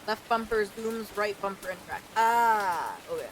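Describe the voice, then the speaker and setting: A woman game streamer doing a casual, expressive let's play, reacting and reading on-screen text aloud. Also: medium pitch, moderate pace, background noise. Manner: expressive, reactive, mild exclamations. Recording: loud rain and game ambience behind. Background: loud rain and game ambience.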